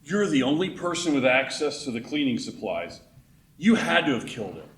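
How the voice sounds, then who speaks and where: A man's voice: mid-range voice, big pitch swings, moderate pace, natural voice. An actor playing a resentful, defensive older radio man in a mystery radio play, scoffing and indignant. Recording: noticeable background noise.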